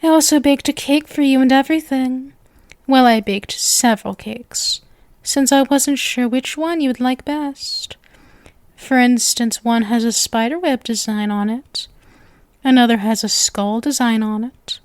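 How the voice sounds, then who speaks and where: Woman acting a sweet, clingy, high-voiced yandere witch. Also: measured pace, no room sound. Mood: affectionate, nervous. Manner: coy, nervous, gushing, intimate. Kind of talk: ASMR roleplay, scripted acting addressed to listener.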